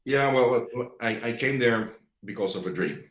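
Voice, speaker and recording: A man from the Netherlands with a Dutch accent, a guest speaking English haltingly, often pausing to search for words. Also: mid-range voice, animated, reverberant. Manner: hesitant, searching for words, many fillers. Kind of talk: conversational interview.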